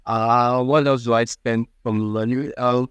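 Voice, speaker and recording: Earnest Cameroonian man, halting speech. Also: medium pitch, steady tone, clear, resonant tone, no echo. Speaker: guest. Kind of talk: interview answers.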